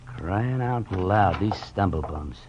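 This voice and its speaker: An actor playing a chatty, grumbling American bartender who is easily rattled, talking in a folksy, colloquial way in a radio drama. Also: male voice, low-pitched, expressive, takes it slowly, heavy background noise, exaggerated voice. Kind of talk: 1940s-50s radio drama acting. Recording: dull vintage radio recording.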